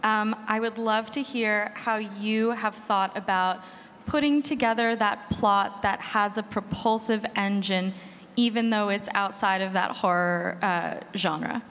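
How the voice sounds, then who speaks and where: A woman's voice: mid-range voice, fairly even intonation, measured pace, fairly bright tone. A woman moderating a panel, introducing topics and putting questions to the panelists. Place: large reverberant hall at a live panel. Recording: echoey hall, background noise.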